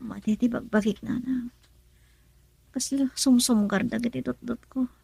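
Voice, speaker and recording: A woman's voice: a little high, steady tone, a little slow, vocal fry, mellow, slightly rough, dry recording, exaggerated voice. A woman playing a young adult daughter who questions her mother and sounds weary from illness in a radio drama. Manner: questioning, then faint and tired.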